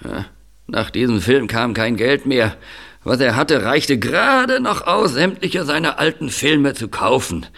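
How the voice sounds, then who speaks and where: A man playing an affable, hospitable older man in an audio drama, speaking deliberately with hesitations. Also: medium pitch, animated, gravelly, fairly bright tone. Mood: reflective, grave. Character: affable older man, scarred retired film manager breeding budgies. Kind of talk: audio drama dialogue acting.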